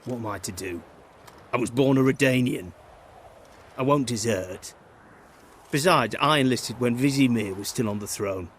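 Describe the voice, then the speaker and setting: An actor playing a plain-spoken, quietly disillusioned soldier on guard in a fantasy video game, talking in blunt, clipped, colloquial phrases. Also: male voice, medium pitch, normal speed, long pauses, slight background noise, natural voice. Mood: resigned, bitter.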